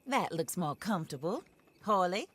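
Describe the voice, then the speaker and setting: An actress playing a genteel, vengeful Old West widow in a video game, with formal, measured, theatrical diction. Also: female voice, lowish voice, very animated, fairly bright tone, breathy edge, full character voice. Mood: gracious. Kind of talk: dramatic video game cutscene acting.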